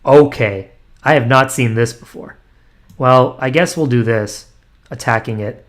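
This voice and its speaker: A young man at a medium pitch talks through an online chess game, half to himself. He trails off into ums, restarts his sentences and makes fun of his own play. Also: a little slow. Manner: self-deprecating, muttering analysis with frequent ums and restarts. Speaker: chess streamer. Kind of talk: thinking aloud while playing blitz chess online.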